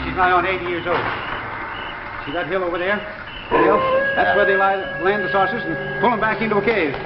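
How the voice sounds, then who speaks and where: A man acts out a crazed old American backwoods character with a fairly high-pitched voice. He is folksy and insistent, talking in rustic dialect as in 1950s television sci-fi. The soundtrack is muffled under clear noise. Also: close to an everyday voice. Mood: urgent, folksy. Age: older.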